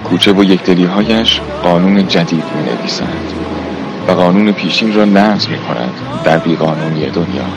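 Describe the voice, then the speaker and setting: A man narrating a radio drama in a poetic, literary style with measured, formal delivery. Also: low voice, muffled recording. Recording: music bed under the voice.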